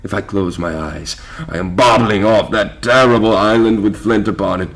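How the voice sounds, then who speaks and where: An actor playing a haunted old pirate captain in a theatrical drama, gruff, barking demands and brooding in fear. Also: male voice, fairly low pitch, moderate pace. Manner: barks demands, broods fearfully. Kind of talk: theatrical stage pirate acting.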